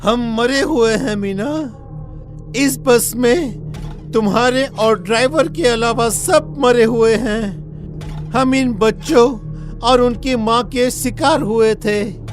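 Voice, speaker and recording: A man's voice: extremely high pitch, slow pace, music in the background. An actor playing an ominous, warning village man in a cartoon horror story, emphatic and foreboding. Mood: grim, urgent. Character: ominous, warning villager who fears a haunted bridge. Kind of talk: animated horror story acting.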